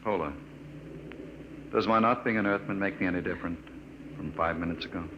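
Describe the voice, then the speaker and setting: A man's voice: low-pitched, brisk, close to an everyday voice. A man acting an earnest, skeptical off-world archaeologist in a 1950s radio drama. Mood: pleading. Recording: noisy muffled old radio broadcast.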